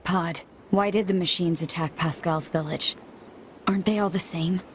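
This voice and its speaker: A woman's voice: lowish voice, fairly even intonation, moderate pace, a little hiss or hum, close to an everyday voice. A woman playing a blunt, sarcastic android fighter in a video game, with dramatic delivery. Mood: irritated, sarcastic.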